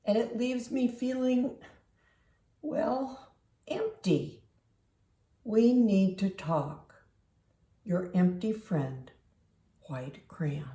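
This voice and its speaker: Plaintive picture-book crayon female reader, mellow and slow, forlorn, hesitant, echoey room. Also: medium pitch, distant mic, pitched above the performer's own voice. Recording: roomy, echoing home recording.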